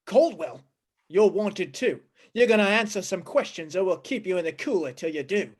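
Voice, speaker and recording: A woman playing a gruff, commanding police sergeant in a 1950s radio play, full of cop slang. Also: lowish voice, animated, normal speed, a little mellow. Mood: stern.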